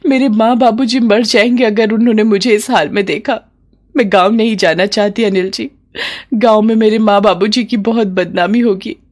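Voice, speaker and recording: A woman's voice: mid-range voice, fairly even intonation, moderate pace, darkish tone, voice pushed up, close to an everyday voice. A woman voicing a devoted young village bride in a story, earnest and emotional. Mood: anguished.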